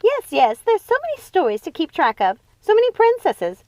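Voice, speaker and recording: A woman's voice: very high voice, wildly swooping pitch, moderate pace, warm tone, no room sound, pitched above the performer's own voice, exaggerated voice. A woman voicing a giddy, mocking witch sister in a storybook, screechy and whiny. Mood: whiny, eager.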